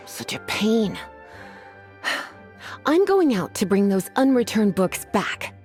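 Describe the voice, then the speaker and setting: A woman's voice: mid-range voice, sing-song, takes it slowly, darkish tone. An actress playing a languid, teasing woman librarian who drawls and sighs, in a game with faint music behind. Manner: drawling, sighing, sultry teasing.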